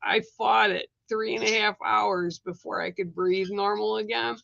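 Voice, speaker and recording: A live-stream host talks casually to viewers in a hoarse, gravelly voice that still sounds bright. They greet people by name and break off to cough now and then. The recording is a little muffled, with a faint hiss under it. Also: mid-range voice, fairly even intonation, moderate pace, dry recording. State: rough, hoarse voice.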